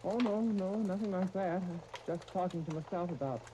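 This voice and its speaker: A man's voice: sits high, fairly quick, close to an everyday voice. An actor playing a timid, theatrical, easily flustered man in a 1930s radio serial. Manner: fussy, flustered, stammering. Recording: muffled, hissy 1939 radio broadcast.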